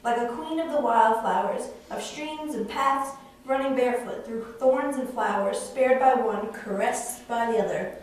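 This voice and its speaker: A woman's voice: fairly high pitch, unhurried, darkish tone, lightly acted. A woman playing a sensitive, wild young princess with earnest, lyrical delivery in a stage puppet play. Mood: tender, sorrowful. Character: sensitive young princess, wild island-raised daughter of a magician. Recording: very echoey room, distant microphone.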